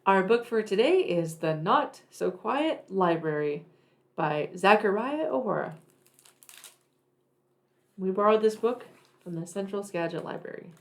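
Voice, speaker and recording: A woman reading a picture book aloud to young children, deliberate and expressive in her narration. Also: mid-range voice. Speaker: children's read-aloud storyteller.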